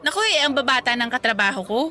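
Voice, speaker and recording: A woman's voice: fairly high pitch, big pitch swings, fast talker, pushed and bright. An actress playing a chatty, candid single career woman, breezy and teasing with her friend in radio drama dialogue.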